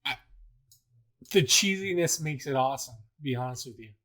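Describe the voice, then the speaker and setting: A man's voice: medium pitch, lively intonation, normal speed, fairly bright tone. A man co-hosting a show in casual chat, agreeable and often echoing what his partner says. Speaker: co-host of a video show.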